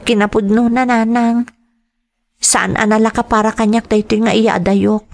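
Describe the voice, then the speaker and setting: A woman's voice: on the high side, flat delivery, moderate pace, gravelly, darkish tone. A woman acting a determined, dutiful young adult daughter in an audio drama, with an Ilocano Filipino accent. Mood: earnest, concerned. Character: determined, dutiful grown daughter seeking work abroad.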